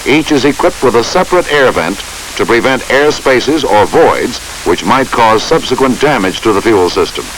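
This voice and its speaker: A man narrates a training film in a formal, measured, instructional style. His pitch is medium and moves in a lively way. The soundtrack is old, with a faint hiss behind the voice. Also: low-quality recording.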